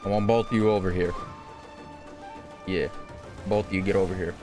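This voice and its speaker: Guy playing a game, deepish voice, unhurried, swears at enemies, faint music. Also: fairly even intonation. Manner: profane, blunt, talking to the game. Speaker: gaming video creator. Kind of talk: casual gameplay commentary.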